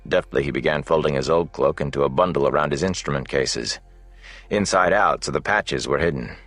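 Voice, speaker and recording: A man narrating a fantasy novel as an audiobook in a measured, dramatic way. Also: extremely low pitch, fairly bright tone, dry recording. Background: faint music.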